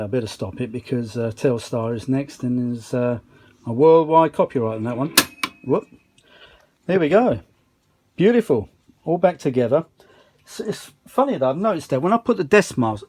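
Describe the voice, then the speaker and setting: A man's voice: mid-range voice, darkish tone, slight background noise. A man giving a casual, rambling hobbyist demonstration, chatty and self-deprecating, with muttered asides. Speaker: vintage audio hobbyist presenting a restoration.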